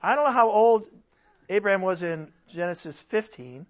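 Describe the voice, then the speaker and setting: A man preaching a sermon with a strained voice, nearly worn out, asking the congregation rhetorical questions and swinging between loud and soft. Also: high-pitched, animated, moderate pace, lo-fi sound. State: strained, almost losing his voice. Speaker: pastor.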